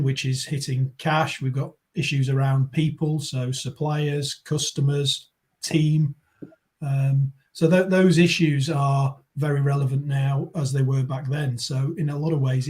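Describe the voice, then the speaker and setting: A man's voice: medium pitch, a little mellow. A man talking as a guest consultant on a video call, thoughtful and repeating words as he works through his ideas. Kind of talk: conversational discussion.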